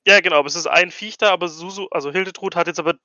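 A man's voice: high voice, racing, bright, forceful tone, dry recording, highs cut off. A man playing a tabletop game and chatting casually with the group.

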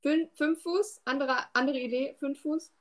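A woman with a fairly high voice plays a tabletop roleplaying game. She speaks casually and a little tentatively, feeling out her moves with questions. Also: normal speed.

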